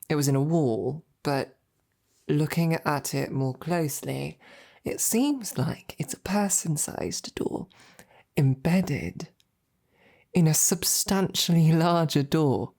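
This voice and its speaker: A woman playing a devoted, self-doubting female royal knight in an intimate roleplay audio, speaking in a hesitant, informal, tender way with a low, gravelly voice. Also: animated, takes it slowly, dark tone, exaggerated voice. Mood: curious, gentle.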